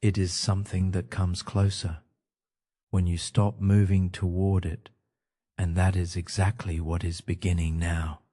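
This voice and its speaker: A man guiding a sleep meditation in a calm, gentle, soothing manner, speaking in short phrases with pauses. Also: low voice. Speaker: meditation guide.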